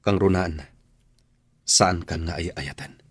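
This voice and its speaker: An actor playing a stern, bitter husband in an Ilocano radio drama, speaking bluntly and accusingly in a husky Ilocano-accented voice. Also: male voice, sits low, slow, dry recording, full character voice. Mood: angry, bitter. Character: bitter, stern husband throwing out his estranged wife.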